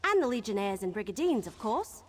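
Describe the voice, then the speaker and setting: A woman's voice: medium pitch, very animated, brisk, a little hiss or hum, natural voice. A woman playing a nervous, chatty young elf archer in a fantasy video game, rambling candidly and earnestly. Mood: anxious, hopeful.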